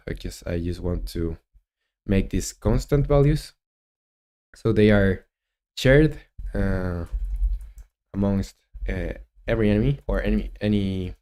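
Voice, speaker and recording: A man in his twenties with a Chilean Spanish accent, talking through his coding on a live stream, halting and thinking aloud with many fillers. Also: on the low side, dark tone, clean tone. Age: 20s. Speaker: game developer streamer.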